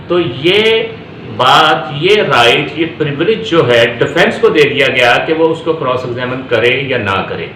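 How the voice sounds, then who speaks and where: A man's voice: on the high side, a bit bright. A man with a Pakistani accent giving an explanatory law lecture, going step by step, repeating himself and mixing in English legal terms. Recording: echoey room with faint background noise.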